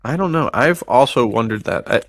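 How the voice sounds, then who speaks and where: A man's voice: medium pitch, animated, brisk, dry recording, studio quality. A man co-hosting a podcast in casual chat, with a slangy, bro-ish way of talking. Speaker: podcast co-host.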